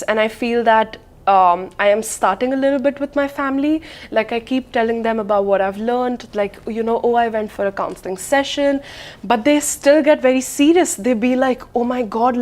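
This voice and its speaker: A woman's voice: a little high, a little mellow. Earnest young woman student, chatty and sincere. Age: young adult. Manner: earnest, with frequent "like" and "you know" fillers. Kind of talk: casual conversation.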